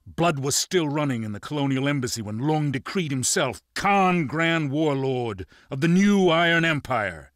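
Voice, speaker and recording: An actor playing a shrewd, commanding pirate lord in a video game, speaking in a measured, menacing and authoritative way. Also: male voice, on the high side, expressive, a bit bright, no echo, studio quality. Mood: grave. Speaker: video game character giving mission briefings. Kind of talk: dramatic game cutscene acting.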